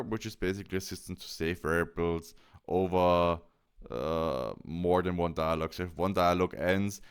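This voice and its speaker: Clear-voiced man narrating a software tutorial. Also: low-pitched. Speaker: tutorial presenter.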